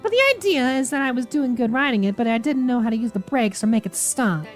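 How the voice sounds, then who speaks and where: Woman putting on an eager, high-pitched young man. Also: fast talker, faint music behind, natural voice. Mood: earnest, flustered. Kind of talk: visual novel character reading.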